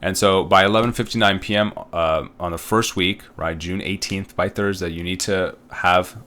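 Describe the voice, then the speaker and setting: A man's voice: low voice, steady tone, normal speed, bright, forceful tone. A man in his thirties, a college instructor from Uzbekistan, walking students through an online course in a casual, rambling way, full of fillers and checking-in tags. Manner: casual, rambling, with many fillers and tag questions. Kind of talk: recorded online course walkthrough.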